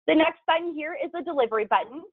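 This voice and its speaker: A woman's voice: high voice, lively intonation, fast, dry recording. A woman narrating an instructional video, explaining things step by step as a tutorial presenter. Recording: thin phone-like band-limited sound, dry.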